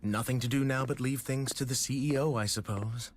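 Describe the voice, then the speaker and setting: An actor playing a smug, scheming corporate director in a video game, polite yet condescending and insinuating. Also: male voice, medium pitch, steady tone, a little fast, very noisy, natural voice. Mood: resigned, sly. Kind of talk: video game cutscene acting. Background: background music.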